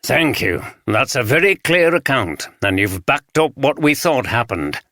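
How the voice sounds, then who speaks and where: A man voicing a calm senior detective who speaks gently and patiently. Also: mid-range voice, no echo, clean studio sound, heavily acted. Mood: gentle. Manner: gentle, reassuring, measured.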